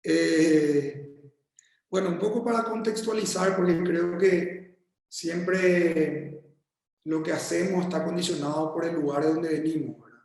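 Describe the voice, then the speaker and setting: A man with a Paraguayan accent giving a casual remote talk as a guest architect, halting and friendly, often repeating his words. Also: on the high side, fairly even intonation, a little mellow, heavy reverb, rough recording. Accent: Paraguayan Spanish. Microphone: video call.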